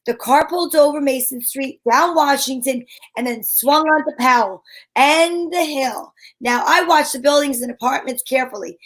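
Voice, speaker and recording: A high, bright voice from a woman playing a wisecracking amateur sleuth, narrating an old-time radio mystery at a brisk clip. Her delivery is snappy and knowing, the classic hardboiled first-person style. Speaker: narrator and lead in a radio play.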